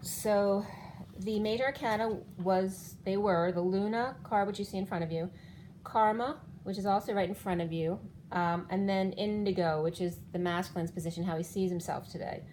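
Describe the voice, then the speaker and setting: A woman's voice: mid-range voice, fairly even intonation, normal speed, a little mellow, a little hiss or hum, poor audio. A woman in her fifties giving a rambling spiritual tarot reading, walking through cards one by one while her voice keeps giving out. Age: 50s. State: losing her voice. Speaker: tarot reader.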